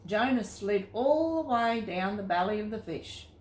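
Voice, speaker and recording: A woman reading scripted Bible stories to children in a simple, expressive storytelling manner. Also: medium pitch, a little slow. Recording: roomy, echoing sound.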